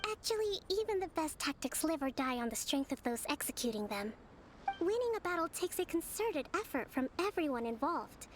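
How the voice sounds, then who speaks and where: A woman playing a gentle, composed young military strategist in a video game, speaking in measured, formal phrases. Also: high-pitched, sing-song, background noise. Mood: composed, gracious. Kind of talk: scripted video game character acting. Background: background music.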